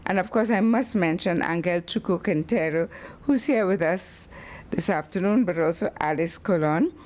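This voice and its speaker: A woman in her sixties from the English-speaking Caribbean, giving a formal academic talk with personal tributes and animated storytelling. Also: lowish voice, moderate pace. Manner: animated storytelling with acted-out quotes. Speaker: guest lecturer.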